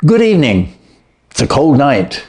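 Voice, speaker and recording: A shrewd Victorian detective, played by a male storyteller, brisk and sure of himself. His storybook lines are articulate and decisive, with pitch that moves around a lot. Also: wildly swooping pitch, darkish tone. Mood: casual, probing. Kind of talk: storybook character voice.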